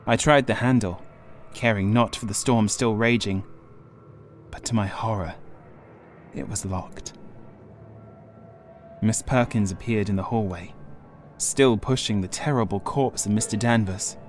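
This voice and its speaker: Casting: male audiobook narrator for gothic horror, lowish pitch, suspenseful and grave delivery, music playing behind. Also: a little slow, pauses often. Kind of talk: dramatic scripted horror narration.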